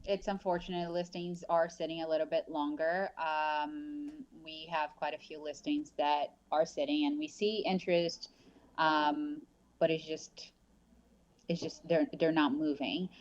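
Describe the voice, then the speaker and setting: Woman, very low voice, muffled call audio, chatty, lots of fillers. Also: little pitch movement, measured pace, darkish tone. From Brazil. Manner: chatty, many fillers and restarts. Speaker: real estate agent, guest. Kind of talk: casual remote interview. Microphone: headphones on a remote call.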